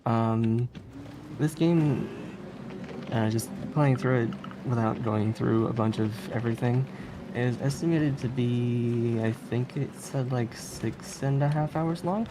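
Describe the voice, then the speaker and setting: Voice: medium pitch, takes it slowly. A speedrunner giving casual, rambling commentary on a live stream, often chuckling. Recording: noisy live stream audio.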